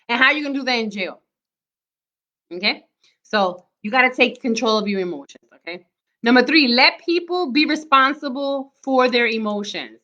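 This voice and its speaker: A woman vlogger giving motivational tips in casual talk to the camera, emphatic and loud, checking in with "okay?" after her points. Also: high-pitched, highs cut off. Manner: emphatic, keeps asking "okay?".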